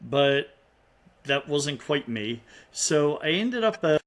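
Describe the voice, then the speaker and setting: A man's voice: fairly high pitch, very animated, slow pace. A middle-aged man from Pennsylvania with a Philadelphia accent, talking casually as a podcast guest and rambling with restarts. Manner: rambling, with frequent restarts and fillers. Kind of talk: casual interview conversation.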